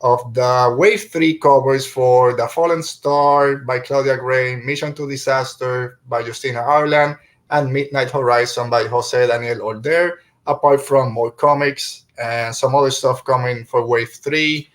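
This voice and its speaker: A man hosts a podcast in loose, conversational fashion. He rambles a little and slips jokes in as he goes, at an ordinary middle pitch. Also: steady tone, unhurried. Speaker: podcast host. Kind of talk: casual podcast chat.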